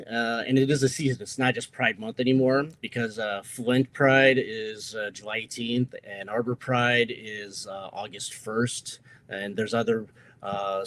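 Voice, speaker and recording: A man who is a guest on a casual livestream. He has a loud, projecting voice and rambles through his stories with lots of filler sounds. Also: medium pitch. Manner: loose storytelling with frequent uh fillers. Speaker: guest, atheist group president.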